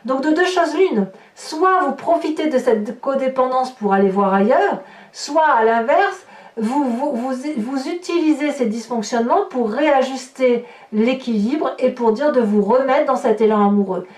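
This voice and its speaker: Female tarot host, higher-than-average voice, emphatic improvised guidance with repeated phrases, clearly echoey recording. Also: animated, measured pace. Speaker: tarot reader, horoscope host. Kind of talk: improvised tarot reading commentary addressed to viewers. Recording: roomy echo, distant microphone.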